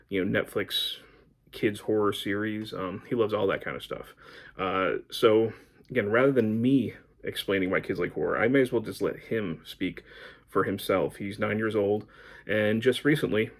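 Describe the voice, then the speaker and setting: A middle-aged American man talks casually to his viewers about books. His voice sits on the low side with a husky edge. He rambles along in a conversational, unscripted way. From United States. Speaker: book vlogger. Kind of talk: casual chat to camera, answering book prompts.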